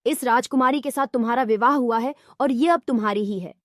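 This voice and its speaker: High-voiced helpful female fox played by a woman. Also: fairly quick, dry recording, clean studio sound, close to an everyday voice. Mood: advising, instructive. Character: friendly, helpful female fox advising a prince in a fairy tale. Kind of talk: Hindi fairy-tale storybook voice acting.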